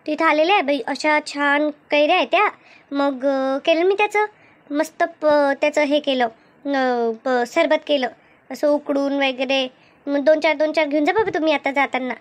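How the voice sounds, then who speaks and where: A woman voicing a chatty, good-humoured village gossip in an animated comedy, with a rural Maharashtrian accent and exclaiming, colloquial talk. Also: high voice, lightly acted. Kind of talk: animated cartoon comedy dialogue.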